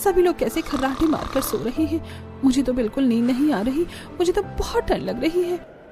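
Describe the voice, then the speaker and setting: A woman's voice: high voice, expressive, normal speed, music in the background. A woman voicing a bewildered, exasperated young newlywed bride in a cartoon story, whiny and incredulous in her complaining. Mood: exasperated, cold.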